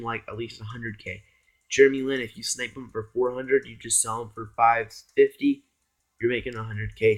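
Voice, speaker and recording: A hoarse man giving casual, rambling gameplay commentary as a YouTuber, often addressing his viewers as guys. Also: medium pitch, poor audio. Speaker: gaming YouTuber.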